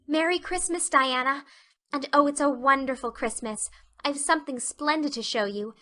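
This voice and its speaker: A woman voicing an excitable, dreamy young girl in an audiobook, gushing with rapture. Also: sits high, normal speed, pitched above the performer's own voice. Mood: excited. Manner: gushing, effusive, breathless exclamations. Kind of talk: audiobook character acting.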